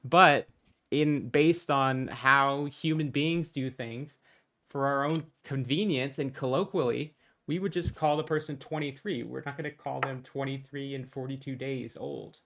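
A man on a voice call debate, explaining his view calmly through examples. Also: mid-range voice, a little slow, creaky. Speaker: debate caller. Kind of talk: casual debate. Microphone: voice call mic.

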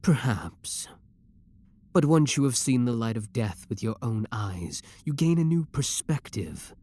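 A man playing an obsessive, menacing young villain with cold devotion, speaking deliberately and theatrically in a scripted ASMR roleplay. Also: mid-range voice, sing-song, warm tone. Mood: calculating, obsessive.

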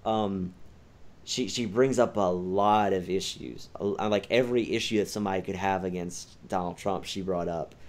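Low-pitched man chatting on a podcast. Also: fairly even intonation, normal speed, a little mellow. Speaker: podcast host. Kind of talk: casual podcast chat.

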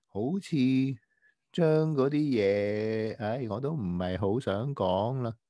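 A man voicing a prim, well-behaved young boy who gently lectures a friend in a children's radio drama, halting and patient. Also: mid-range voice, no room sound, clean studio sound. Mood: gently reproving.

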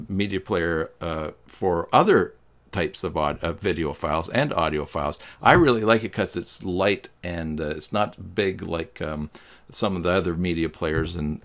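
A middle-aged man giving a step-by-step software tutorial in a plain, instructional manner. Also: low voice, creaky. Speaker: tutorial host. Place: small indoor room with green screen.

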